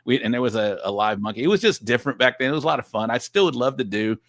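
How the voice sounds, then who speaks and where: A man's voice: medium pitch, lively intonation, rapid-fire, a bit bright, no echo, clean studio sound. A man from Texas chatting casually on a livestream from his home office, rambling with lots of restarts and easy laughs. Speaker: guest podcast host.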